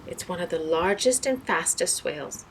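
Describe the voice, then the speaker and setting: A woman's voice: fairly low pitch, expressive, moderate pace, a little hiss or hum, low-quality recording. A woman voicing a hearty, proud male sea captain in a storybook, explaining things with emphasis. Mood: informative.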